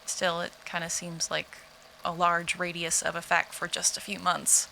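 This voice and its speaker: An actress playing an uneasy, wry field investigator in an audio drama log, speaking in a hesitant, musing, conversational way. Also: female voice, on the low side, completely flat delivery, moderate pace, bright, forceful tone, poor audio. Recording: clear ambient noise behind.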